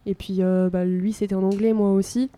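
A woman's voice: medium pitch, flat delivery, fairly quick, mellow, dry recording. A young woman in her twenties, a band singer chatting upbeat in an interview, laughing often. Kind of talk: casual interview chat.

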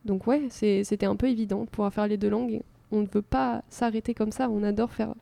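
A woman's voice: mid-range voice, fairly even intonation, fast, creaky, dark tone, no room sound. A young woman in her twenties, a band singer chatting upbeat in an interview, laughing often. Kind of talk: casual interview chat.

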